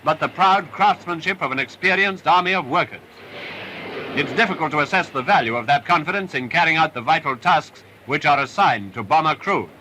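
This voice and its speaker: A man's voice: a little high, sharp tone. A man narrating a wartime film in an emphatic, patriotic style, on a noisy restored 1940s film soundtrack. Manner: upbeat, emphatic, patriotic delivery.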